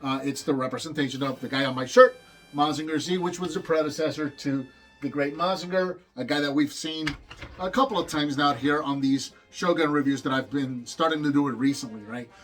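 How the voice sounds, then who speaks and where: Toy review host, a man from Puerto Rico, fairly high voice, eager and rambling, faint music behind. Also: fairly quick, fairly bright tone. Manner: enthusiastic, rambling with restarts.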